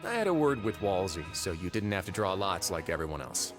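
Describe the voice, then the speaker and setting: An actor voicing a composed, dryly courteous male prison warden in a video game, speaking in a measured, polite way. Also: deep voice, sing-song, loud music behind the voice. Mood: calm, measured.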